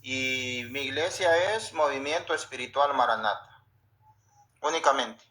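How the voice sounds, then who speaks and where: A man's voice: medium pitch, unhurried, bright, forceful tone, low-quality recording. A man with a Guatemalan accent preaching, reciting and explaining scripture, often adding tag questions. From Guatemala City, Guatemala. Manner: frequent "¿verdad?" tags. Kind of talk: sermon with scripture reading and exposition.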